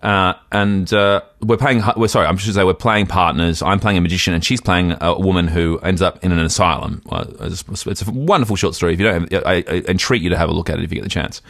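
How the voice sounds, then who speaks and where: Fast-talking, deep-voiced man rambling on a podcast. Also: a bit bright, no room sound, clean studio sound. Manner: rambling, self-deprecating storyteller with many restarts. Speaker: podcast co-host. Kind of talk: casual comedic podcast chat.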